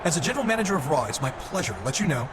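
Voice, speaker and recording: A man's voice: fairly high pitch, very animated, rapid-fire. A man playing a smug, officious wrestling general manager who talks down to people in a video game. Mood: smug, pleased. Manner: smug, condescending corporate polish. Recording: noisy game capture.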